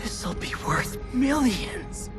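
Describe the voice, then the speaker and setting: A woman's voice: a little high, sing-song, takes it slowly. A woman playing an anxious young female adventurer sidekick, uneasy and questioning, in a cinematic video game. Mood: anxious, awed. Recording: loud cinematic music behind.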